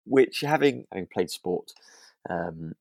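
A man with a very low voice talks casually as a podcast co-host. His phrasing is tentative and rambling, with frequent circling back. The sound is very dry and close, free of room echo. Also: lively intonation, takes it slowly, vocal fry, a little mellow. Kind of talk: casual discussion of a radio drama.

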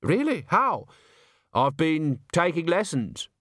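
Man voicing a meek, higher-pitched young woman. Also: big pitch swings, takes it slowly, fairly bright tone, no room sound, clean studio sound, heavily acted. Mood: shy, uncertain. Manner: hesitant, polite. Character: meek, shy, polite young woman singer.

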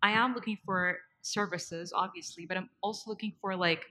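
A woman with a medium-pitched voice moderates a panel. She talks conversationally, building her questions loosely and padding them with small filler phrases. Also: fairly even intonation, a little fast, pushed and bright. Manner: loose phrasing, frequent "you know" and "like".

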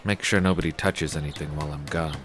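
An actor playing a laconic, wisecracking war veteran turned investigator in a hardboiled 1930s noir audio drama. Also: male voice, extremely low pitch, fairly even intonation, fast talker, noisy background. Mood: low-key, guarded. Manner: terse, dry, wisecracking, casual slangy phrasing.

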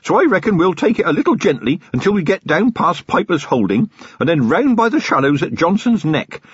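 A man voicing an eager, chattering young male constable, keen and bright. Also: on the high side, sing-song, a little fast, breathy edge, no echo, dull audio, pitched above the performer's own voice, heavily acted.

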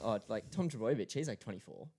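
Fast-talking young man, low voice. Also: animated, mellow, slightly rough. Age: 20s. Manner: quick, easygoing banter. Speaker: podcast co-host. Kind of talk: casual chat.

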